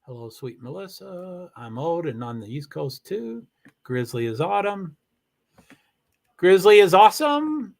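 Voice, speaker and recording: A man streaming live from home, chatting casually and rambling excitedly with lots of exclamations and restarts. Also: high-pitched, measured pace, creaky. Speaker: live streamer reading chat. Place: home in Washington State.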